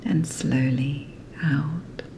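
A woman narrating a guided sleep meditation in a soft, breathy, whispery, soothing manner. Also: deep voice, fairly even intonation, drawn-out words, slight background noise, rough recording.

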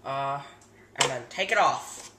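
Deadpan teen boy hosting a mock cooking show. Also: mid-range voice, takes it slowly, noisy background. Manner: earnest instructional tone with absurd steps. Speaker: host of a home cooking parody. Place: home kitchen, indoors. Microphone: camera mic across the kitchen. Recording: echoey kitchen, camera a bit far.

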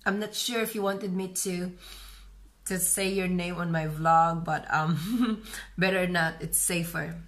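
Woman, 30s, Filipino accent, fairly low voice, relaxed home vlogger, chatty with fillers. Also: measured pace. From Philippines. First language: Visaya. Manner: chatty, many "you know" fillers and restarts. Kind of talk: casual vlog chat, reading comments. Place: bright room at home, indoors.

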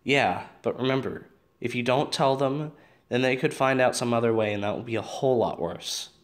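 Teenage boyfriend played by a man, dry and deadpan, mid-range voice, for an audio drama. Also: medium pitch, normal speed, close to an everyday voice. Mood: stern. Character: teenage boyfriend, dry, principled, protective of friends. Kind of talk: amateur audio drama acting.

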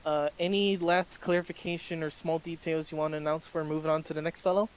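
A man with a notably high voice leads a tabletop role-playing session as game master. He keeps things moving between players and throws in jokes. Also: flat delivery, fast, clear, resonant tone. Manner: joking, laughs at players' bits. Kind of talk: running a tabletop game over voice chat.

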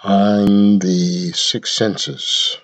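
Slow, low-voiced man guiding meditation. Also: level tone. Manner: measured, instructional, short phrases with long pauses. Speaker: meditation guide. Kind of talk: guided meditation.

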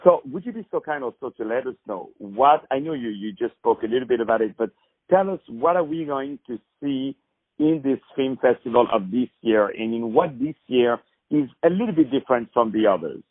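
A man's voice: medium pitch, expressive, brisk, husky, dry recording. A man hosting a phone interview, effusive and lavish with praise. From France. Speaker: host. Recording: tinny phone line, dry.

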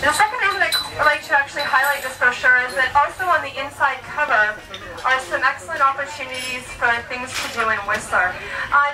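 A woman working as a train attendant, chatting loosely and in a friendly way through an onboard sales announcement, picked up by a far camera. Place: inside a train coach. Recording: distant voice over train rumble.

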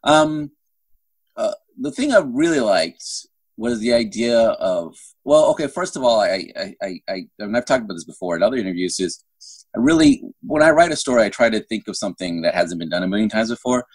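A middle-aged man speaks in a low, husky voice with very little room sound. He chats casually as an interview guest, looping back and restarting his thoughts as he explains. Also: big pitch swings, a little fast, no echo, poor audio. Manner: rambling, self-interrupting with repeated false starts. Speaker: guest novelist. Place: indoor room at home. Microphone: phone at arm's length on a video call.

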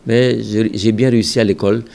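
An older man, a religious brother and school director from Ontario, Canada, calmly recalling his past in a measured, reflective way with some hesitations, seated at his office desk with a microphone in front. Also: on the low side, gravelly. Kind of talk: calm recollecting interview answers.

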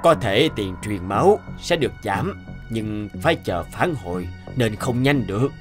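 A middle-aged hospital doctor in an animated drama. His low voice is serious and measured, with grave sympathy. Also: faint music behind. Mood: concerned, hesitant. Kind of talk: dubbed cartoon dialogue.

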